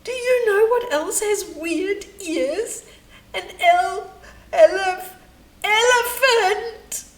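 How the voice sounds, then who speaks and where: Женщина изображает плаксивую обидчивую бегемотиху, очень высокий голос. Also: female voice, very high voice, extremely slow, far from the mic, pitched above the performer's own voice, exaggerated voice. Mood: wailing, tearful. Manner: wailing, sobbing, indignant. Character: oversensitive weepy young female hippo, easily offended. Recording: roomy echo, faint background noise.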